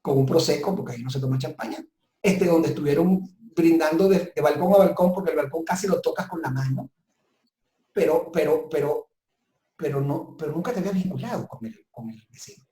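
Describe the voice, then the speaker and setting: A man's voice: on the high side, fairly quick, dark tone. A man speaking as a guest consultant in a webinar interview, giving advice in an emphatic, reflective way. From southern Italy. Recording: roomy, echoing sound.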